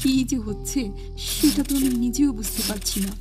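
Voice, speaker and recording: A woman voicing a flustered, scolding, easily frightened male village postmaster in an animated horror story. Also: sits high, a little fast, over loud music, natural voice. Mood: terrified. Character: frightened village postmaster man, irritable boss.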